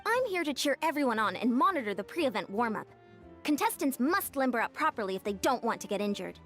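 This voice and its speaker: No-nonsense female game coach, high, lively intonation, music underneath. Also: brisk. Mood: matter-of-fact. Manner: matter-of-fact, instructing. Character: no-nonsense female athletics coach, brisk and dutiful. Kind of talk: video game voice acting.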